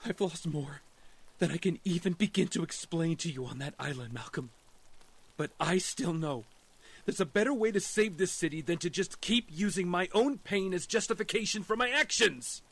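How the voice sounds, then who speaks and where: An actor playing a grieving, principled young vigilante hero in an audio drama, earnest and impassioned. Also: male voice, high-pitched, expressive, normal speed, fairly bright tone, heavily acted. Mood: pained, impassioned.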